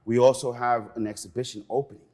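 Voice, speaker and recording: A man's voice: mid-range voice, steady tone, fast talker, a little mellow. A middle-aged man from Peru, raised in New York, speaking as a guest in an interview, earnest and passionate. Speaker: museum cultural program manager, guest.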